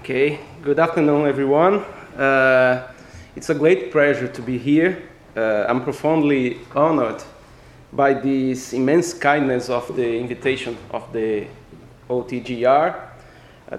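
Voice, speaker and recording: A man's voice: mid-range voice, a little hiss or hum. Casting: male law professor, Portuguese-speaking Brazilian accent, careful non-native lecturing, mid-range voice in a reverberant room. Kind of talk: academic lecture. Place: echoey indoor room.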